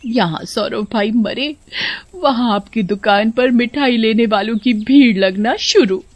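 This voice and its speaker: A woman's voice: on the high side, a little slow, no room sound, pitched above the performer's own voice. Woman acting a cunning, nagging cartoon wife. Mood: conniving. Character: cunning, nagging village wife plotting murder.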